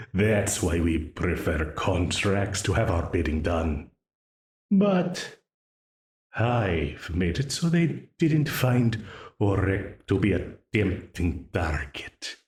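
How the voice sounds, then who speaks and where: A man's voice: sits low, darkish tone, reverberant, exaggerated voice. A man voicing a smug, dominant demon who taunts and laughs as he binds a ruler to a contract. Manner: slow, taunting, with frequent laughs. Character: dominant scheming male demon, smug and menacing. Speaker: voice actor in an audio roleplay. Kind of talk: audio roleplay acting.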